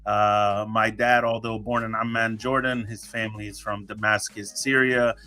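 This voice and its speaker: A man's voice: lowish voice, little pitch movement, moderate pace, bright, forceful tone, soft background music. A man hosting his own podcast, chatting casually and joking, with many fillers and restarts. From United States. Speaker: podcast host. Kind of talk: casual solo podcast talk.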